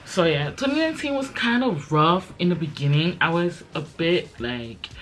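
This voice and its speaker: A woman's voice: on the low side, lively intonation, moderate pace. A woman in her twenties, raised in Belgium, chatting casually and rambling to camera with lots of fillers. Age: 20s. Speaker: YouTuber. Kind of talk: casual chit-chat vlog.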